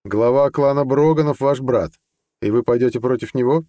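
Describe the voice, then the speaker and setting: A man voicing a sly, deliberate judge with a lawyerly manner in an audiobook. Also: mid-range voice, warm tone, dry recording, clean studio sound, voice pushed up, lightly acted. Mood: suspicious. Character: sly, measured older judge, a scheming conspirator. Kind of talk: audiobook character dialogue.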